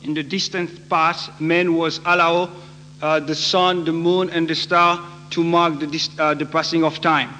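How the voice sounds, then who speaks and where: A man from Haiti with a Haitian accent, preaching in short phrases with frequent halting pauses for an interpreter. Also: a little high, little pitch movement, bright, forceful tone. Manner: halting, pausing often. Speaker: guest preacher. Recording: noisy echoey hall with hum.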